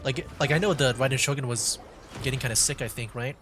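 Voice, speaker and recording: A man's voice: medium pitch, quick pace. A man giving casual live commentary as he streams a video game. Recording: game music behind voice. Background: video game music.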